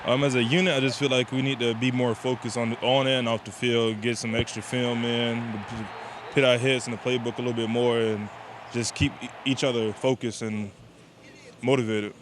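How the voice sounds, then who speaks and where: A young man answers interview questions as a college athlete. His pitch is average, and clear outdoor noise with vehicles runs behind him. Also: level tone, moderate pace. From Georgia, USA. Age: young adult. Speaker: college football player. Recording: noisy outdoor recording, traffic rumble.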